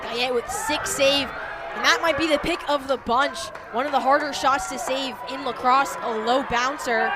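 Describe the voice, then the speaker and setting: A woman's voice: sits high, heavy background noise. A woman working as a color commentator, giving live sports analysis between plays. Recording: loud stadium crowd and music behind. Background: loud crowd and music at a game.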